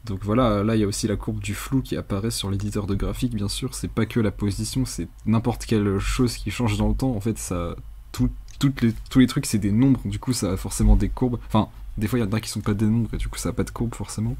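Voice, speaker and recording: A young man with a soft, dark and somewhat husky voice walks through software in a screencast. His pitch sits fairly low. He talks casually and unscripted, hesitating and rambling in a colloquial, self-deprecating way. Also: fairly even intonation, fairly quick. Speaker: tutorial presenter.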